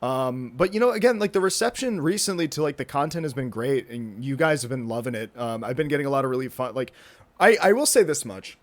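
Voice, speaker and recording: A young man in his mid-twenties talks on his own podcast in loose, casual chat. He rambles, trips over his words and restarts sentences often, dropping in "dude" and "bro" along the way. His voice sits at a mid pitch for a man. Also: animated, brisk. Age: 20s. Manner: rambling, self-interrupting, many fillers and restarts. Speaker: podcast host. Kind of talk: rambling solo podcast talk. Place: indoors, with a thunderstorm rolling in outside.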